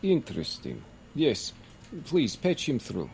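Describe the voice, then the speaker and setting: An actor playing an imperious, slippery professor in an audio drama, smug and demanding. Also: male voice, mid-range voice, huge pitch swings, slow, gravelly, darkish tone, soft background music, full character voice. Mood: smooth, smug. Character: imperious, slippery male professor commanding a military operation.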